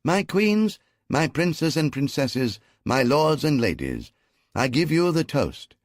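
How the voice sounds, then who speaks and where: A man voicing an ancient Egyptian pharaoh who makes grand, ceremonious proclamations in a strong, clear, carrying voice. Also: a little high, sing-song, slow, no echo, studio quality, pitched above the performer's own voice, full character voice. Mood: regal. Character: commanding male Egyptian pharaoh, regal ruler.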